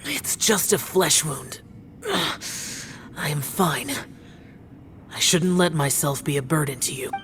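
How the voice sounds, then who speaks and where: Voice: mid-range voice, expressive, takes it slowly, long pauses, background noise. An actor playing a stoic, brooding male immortal warrior in an anime-style video game, terse, grave and formal. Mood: stoic, resigned.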